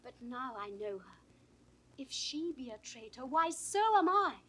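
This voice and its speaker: Young british noble lady, high voice, really animated and earnest, old shakespeare recording. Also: a little slow, husky, heavily acted, low-quality recording. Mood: pleading, impassioned. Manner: earnest, impassioned, articulate. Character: devoted, spirited young noblewoman, the duke's daughter. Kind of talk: 1960s Shakespearean audio drama acting.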